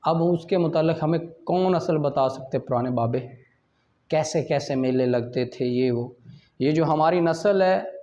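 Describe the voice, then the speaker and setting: A man's voice: fairly high pitch, unhurried, dark tone. A man with a Pakistani accent teaching law in a classroom lecture style, explaining point by point and mixing in English terms. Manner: explanatory, checks in with short questions, switches between English and Urdu. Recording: dull, lo-fi audio.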